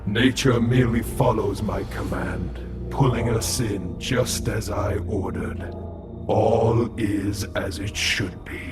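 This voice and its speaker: A man voicing an arrogant robot commander in a scripted audio drama, with a pompous, deliberate, commanding delivery. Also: lowish voice, fairly even intonation. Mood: imperious. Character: imperious robot warlord commander, cold and arrogant. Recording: echoey voice over music.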